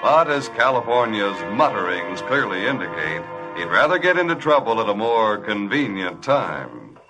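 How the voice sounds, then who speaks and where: A man's voice: lowish voice, very animated, moderate pace, over music, poor audio. An American man narrating a vintage radio western as its announcer, in scripted style.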